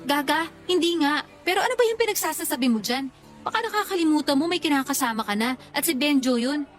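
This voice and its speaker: Radio drama role: sassy working-woman best friend, high-pitched, frank and scolding, light music bed. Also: expressive, fast. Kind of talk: Tagalog radio drama dialogue.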